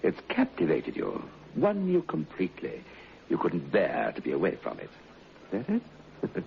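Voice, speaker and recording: Menacing jealous husband, very low voice, swooping intonation, mock-tender, halting, old radio drama. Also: male voice, huge pitch swings, gravelly, heavily acted. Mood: bitter mockery. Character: jealous plantation husband, sardonic and menacingly solicitous. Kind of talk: radio play acting. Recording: dull, hissy old radio recording.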